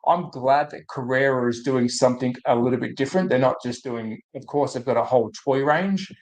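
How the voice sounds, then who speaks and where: An Australian man with a slightly croaky, under-the-weather voice, hosting a casual livestream and thinking aloud as he chats to viewers. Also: medium pitch, brisk, darkish tone, low-quality recording. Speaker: host of a hobby livestream. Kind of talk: casual live chat to viewers.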